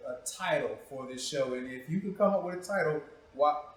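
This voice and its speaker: The recording is very reverberant, with the microphone some way off. A man with a slightly high voice hosts an informal interview. He teases, swears casually and circles back over his own phrases. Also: brisk. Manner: informal, playful, teasing, repeats himself. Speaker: talk show host. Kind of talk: casual interview chat, profane joking. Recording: very echoey room, distant mic.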